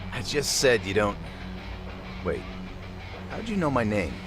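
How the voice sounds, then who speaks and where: A streetwise tough guy with a low voice that swings widely. He is gruff, blunt and quick-tempered, yet chivalrous, in a dubbed crime game scene with music behind him. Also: wildly swooping pitch. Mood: gruff, casual. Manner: blunt, slangy, swings into loud astonishment. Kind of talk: English dub of a crime drama game.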